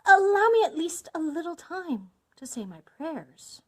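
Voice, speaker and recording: A desperate young fairy-tale wife, acted by a woman narrator, meek and frightened. The voice is high and trembling, sweeping up and down as it pleads. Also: expressive, normal speed. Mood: pleading. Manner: high, trembling, stammering pleas. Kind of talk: dramatised fairy-tale storybook reading.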